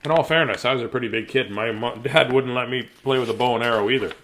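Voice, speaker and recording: A man's voice: medium pitch, fast, a bit bright. A middle-aged man chatting casually and reading children's stories in a silly, rambling, self-deprecating way. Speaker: children's story reader, host. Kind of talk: casual livestream chat and bedtime story reading.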